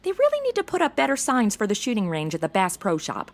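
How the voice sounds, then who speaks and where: A woman's voice: medium pitch, wildly swooping pitch, quick pace. A woman playing a brash, ditzy young American in a comedy audio drama, sassy, dramatic and slangy. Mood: sassy, puzzled.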